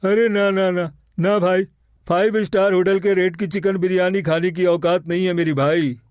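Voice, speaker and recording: A man's voice: high voice, fairly even intonation, normal speed, a little mellow, clear, resonant tone, dry recording, studio quality, lightly acted. A man voicing a cheeky, thrifty village customer in a cartoon moral story, with colloquial banter. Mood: incredulous. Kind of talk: Hindi animated moral story dialogue.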